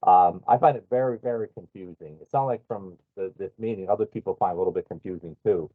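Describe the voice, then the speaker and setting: A man's voice: sits low, fast talker. A man taking part in a work meeting, speaking casually to colleagues. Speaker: meeting participant. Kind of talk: casual spoken request to colleagues. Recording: thin, phone-like call line.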